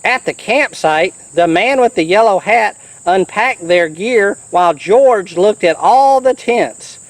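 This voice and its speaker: A man reading a children's storybook in a playful, animated, folksy way. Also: high voice, dry recording, low-quality recording.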